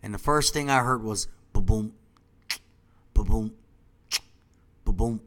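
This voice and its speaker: A man's voice: fairly low pitch, takes it slowly. Rambling congested man. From Washington, D.C. area. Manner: rambling, trailing off into quiet asides. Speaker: company founder. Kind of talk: rambling monologue.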